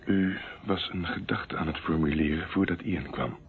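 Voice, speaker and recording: An actor playing a shrewd, dry, sardonic investigator in a Dutch radio drama, asking insistent questions. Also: male voice, deep voice, moderate pace. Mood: dry, pressing. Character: shrewd, sardonic male investigator, probing and unafraid. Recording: phone-like old radio recording, faint noise.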